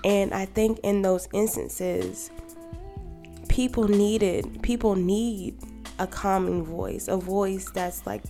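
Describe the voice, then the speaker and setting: A woman in her thirties, a podcast co-host, talking calmly and reflectively in a quiet, soft voice. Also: medium pitch, gravelly. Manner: calm and gentle. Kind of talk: reflective personal monologue. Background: music.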